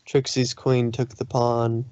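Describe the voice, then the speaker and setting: A man reading fan fiction aloud as an expressive story narrator. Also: medium pitch, takes it slowly, dry recording.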